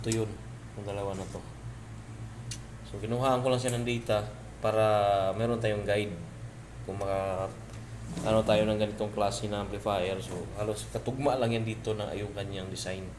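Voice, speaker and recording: A man's voice: lowish voice, little pitch movement, moderate pace, long pauses. A Filipino man giving a casual hands-on electronics tutorial, informally pointing out parts as he goes. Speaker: electronics repair presenter. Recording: clearly noisy home-made video recording.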